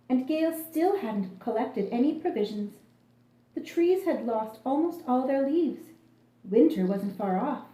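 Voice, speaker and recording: Casting: female children's storyteller, soft-toned and very lively in melody, deliberate, roomy recording. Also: on the high side. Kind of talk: scripted children's story narration. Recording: echoey room.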